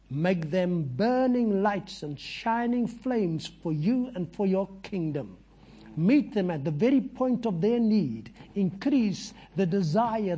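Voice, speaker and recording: Husky-voiced Afrikaans-speaking preacher praying fervently. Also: male voice, sits high, mellow. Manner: fervent prayer, chatty asides to congregants. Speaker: visiting preacher. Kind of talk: sermon and prayer. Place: church.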